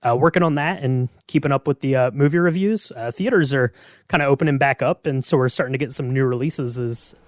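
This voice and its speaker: A man's voice: mid-range voice, a little fast, no room sound, studio quality. A man hosting a podcast, asking questions in a casual interview style. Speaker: podcast host.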